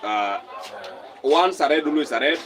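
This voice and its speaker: A man's voice: on the high side, lively intonation, normal speed, a crowd in the background. Kenyan man chatting on a live stream. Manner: reads viewer comments aloud and repeats phrases. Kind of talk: casual live-stream chat.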